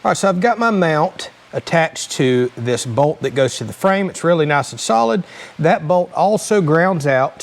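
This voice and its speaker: Male DIY host, voice a little on the high side, casual and explanatory, plain-spoken tutorial delivery. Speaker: host of a DIY vehicle channel. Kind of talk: casual hands-on tutorial narration.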